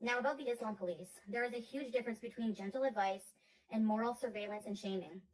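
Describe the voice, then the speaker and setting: A woman with a slightly higher voice reads aloud earnestly and hesitates with fillers as she goes. Her audio is a replayed clip, so she sounds a little away from the mic. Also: fast, distant mic, poor audio. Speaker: content creator in played clip. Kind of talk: reading aloud a reply to viewers.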